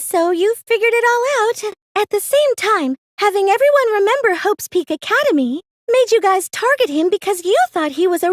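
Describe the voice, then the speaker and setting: A woman's voice: very high voice, dry recording, studio quality. A woman voicing a meek, plain teenage girl with a secret scheming side in an anime video game dub, earnest and girlish in manner. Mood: smug, revealing. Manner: hesitant and stammering when pressed, earnest, girlish.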